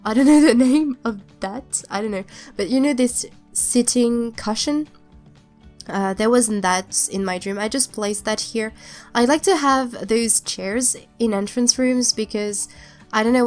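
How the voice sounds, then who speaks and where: A young woman with a cold and a stuffed-up voice, not a native English speaker, casually chatting in a rambling, hesitant voiceover. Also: on the high side. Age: young adult. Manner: rambling, hesitant, many restarts. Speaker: YouTuber narrating a speed build.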